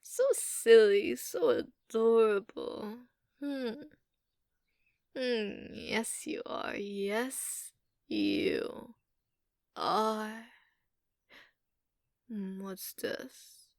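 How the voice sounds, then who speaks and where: Woman voicing a slow, haughty, doting giantess dragon. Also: a little high, darkish tone, dry recording, studio quality. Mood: doting, teasing. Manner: unhurried, condescending, teasing, with drawn-out hums. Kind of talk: ASMR roleplay.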